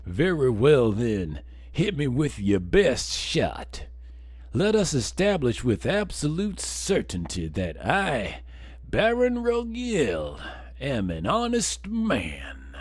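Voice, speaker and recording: A man voicing a pompous, smug older baron in a courtroom game, speaking in a grandiose, drawn-out, theatrical way. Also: mid-range voice, wildly swooping pitch, husky, no room sound, full character voice. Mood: challenging, pompous.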